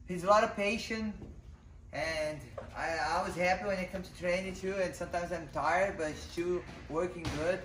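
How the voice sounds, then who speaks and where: A woman in her thirties, a native Portuguese speaker and fighter, talking casually in a boxing gym with halting English and many restarts. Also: fairly low pitch, steady tone, fairly bright tone, distant mic. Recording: echoey gym with clear noise.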